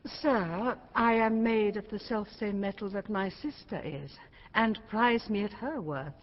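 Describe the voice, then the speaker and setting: An actress playing a competitive, flattering princess in a classical Shakespearean stage drama, speaking formally and effusively. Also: female voice, mid-range voice, unhurried, natural voice. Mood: ingratiating, competitive. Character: competitive, flattering princess, a king's middle daughter. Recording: muffled, reverberant hall sound.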